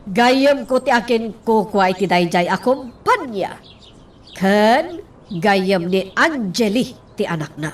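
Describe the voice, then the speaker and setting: An actress playing a headstrong, defiant wife in a radio drama, speaking emphatically and deliberately. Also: female voice, medium pitch, lively intonation, distant mic, slight background noise. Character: headstrong, defiant wife insisting on her own way.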